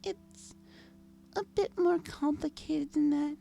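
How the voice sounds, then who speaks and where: A timid, gentle young mare from a fantasy audio story, acted by a woman. The voice is very high and mellow with music behind it, small and hesitant in every phrase. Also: fairly even intonation, slow pace, gravelly, exaggerated voice. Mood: shaken, hesitant. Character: shy, gentle young female pegasus pony. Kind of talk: audio fan-fiction character reading.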